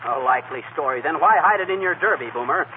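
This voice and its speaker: An actor playing a brash, bumbling, excitable husband in a 1940s radio comedy. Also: male voice, on the high side, quick pace, close to an everyday voice. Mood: folksy, skeptical. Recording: noisy, tinny 1940 radio broadcast.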